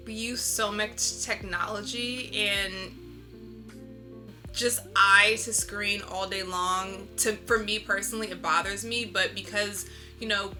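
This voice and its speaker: Woman, bright forceful voice, mid pitch, informal and reflective artist interviewee, music underneath. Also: steady tone, a little slow. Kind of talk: casual interview chat. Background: instrumental lo-fi music.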